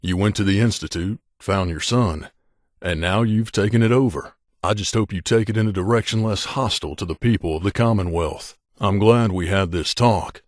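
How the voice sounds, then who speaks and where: A male actor plays a guarded wasteland mercenary in a video game, confiding in his companion. His voice sits fairly low and is recorded close and dry. He speaks earnestly and with measure, like someone who carries guilt. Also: normal speed, no room sound, lightly acted. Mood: opening up.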